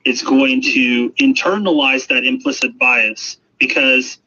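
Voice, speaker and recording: A man's voice: medium pitch, steady tone, slow, pushed and bright. A man giving emphatic explanations in an interview as an expert, often repeating phrases for emphasis. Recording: muffled, noisy radio-like sound.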